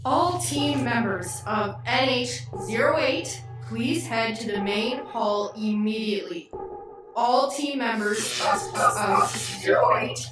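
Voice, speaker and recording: A woman's voice: a little high, slow. A woman playing an impassive ship's computer, making formal, repetitive announcements in a radio play. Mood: impassive, official. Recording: distant, very echoey, music behind.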